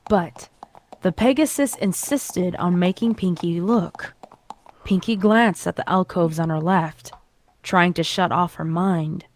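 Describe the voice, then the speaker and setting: A woman narrates a story in a dramatic, scripted style. Her pitch sits slightly lower than most women's. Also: a little mellow, slight background noise.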